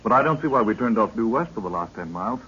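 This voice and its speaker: A man playing an eager, respectful young expedition assistant, brisk and deferential, in old-time radio drama style. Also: fairly low pitch, expressive, fairly bright tone. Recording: muffled, noisy old radio recording.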